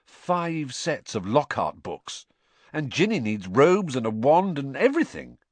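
A man reading aloud voices a wry, joking teenage wizard brother, a touch louder than the narration. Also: medium pitch, very animated, a little slow, no echo, pitched above the performer's own voice.

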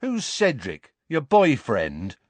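A man's voice: medium pitch, huge pitch swings, slow, no echo, pitched above the performer's own voice, full character voice. A man voicing a big, surly, boastful teenage bully boy who snarls and sneers, in acted audiobook dialogue. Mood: gloating.

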